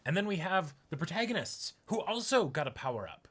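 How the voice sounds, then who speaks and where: Bright, clear-cut male co-host voice. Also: fairly high pitch, very animated, fairly quick. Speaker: co-host of a reaction show. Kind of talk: casual unscripted discussion.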